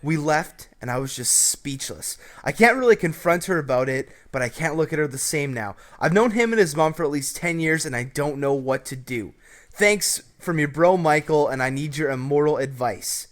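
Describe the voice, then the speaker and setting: A man's voice: fairly high pitch, expressive, moderate pace. A young man hosting a casual advice show, brash and joking, with some swearing. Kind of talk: casual advice commentary over gameplay.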